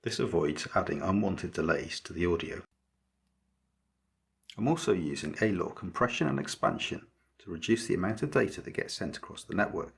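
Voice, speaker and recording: A man narrating a scripted electronics project tutorial as its maker, explaining methodically in a measured way. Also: deep voice, expressive, creaky, slightly rough.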